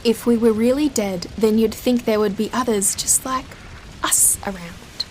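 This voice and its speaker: An actress playing a calm, enigmatic female ghost who reasons matter-of-factly in a scripted audio drama. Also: fairly high pitch, a little slow, a little hiss or hum, natural voice. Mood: calm reasoning.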